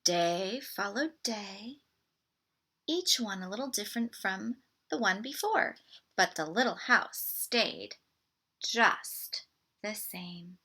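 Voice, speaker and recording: A woman reading a picture book aloud to children in an expressive, deliberate way. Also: medium pitch, slightly rough. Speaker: storybook read-aloud presenter.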